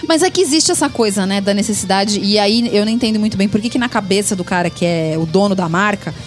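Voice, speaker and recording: A woman's voice: medium pitch, quick pace, soft background music. A woman co-hosting a podcast, opinionated and a little exasperated in casual chat. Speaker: podcast co-host.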